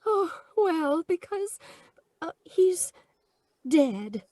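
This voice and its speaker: A woman's voice: extremely high pitch, big pitch swings, very slow, mellow, no echo, clean studio sound, full character voice. A woman playing a frightened, scatterbrained young client in an old-time radio comedy, breathless and dithering.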